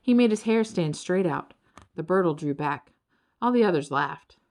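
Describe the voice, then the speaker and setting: Low-pitched, animated woman reading a story. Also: normal speed. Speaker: audiobook narrator. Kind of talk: expressive children's story narration.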